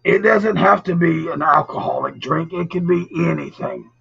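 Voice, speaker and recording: Male live storytelling host: bright, forceful, very husky rasp, casual and teasing with viewers, muffled recording with music underneath. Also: fairly high pitch, moderate pace. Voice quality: gravelly, raspy. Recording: dull lo-fi audio, music behind.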